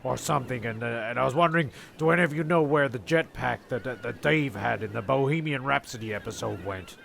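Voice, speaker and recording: Very gravelly man, dry and rambling. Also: medium pitch, brisk, a bit bright, slight background noise. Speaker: narrator and writer of a comedy show. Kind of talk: rambling comic storytelling and sketch acting.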